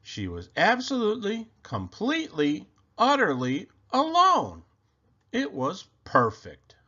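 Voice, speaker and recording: A man reading a children's picture book aloud expressively, with theatrical, deliberate phrasing, on slightly dull, lo-fi audio. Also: sits high, wildly swooping pitch, pushed and bright.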